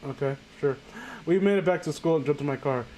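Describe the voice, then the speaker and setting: A high-voiced man hosts a casual mocking read-aloud. He sounds incredulous and often stumbles, breaking off to comment. There is some noise behind him. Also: brisk, warm tone. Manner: incredulous, stumbling over the text. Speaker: host reading aloud and commenting. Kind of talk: casual mocking reading of amateur fan fiction with friends.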